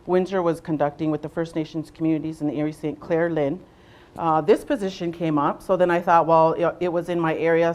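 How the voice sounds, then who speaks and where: A young adult woman from Southwestern Ontario leading a workshop, speaking loudly to a room without a microphone and often pausing on "um" and "uh". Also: low-pitched. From Southwestern Ontario, Canada. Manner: loud voice, unamplified, frequent ums and uhs. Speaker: workshop facilitator, nurse navigator. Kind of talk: presentation to a workshop audience.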